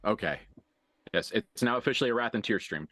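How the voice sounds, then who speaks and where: A man narrating a tabletop horror game as game master, dramatically and deliberately, with pauses for emphasis. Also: fairly low pitch, expressive, fairly bright tone, no echo, clean studio sound.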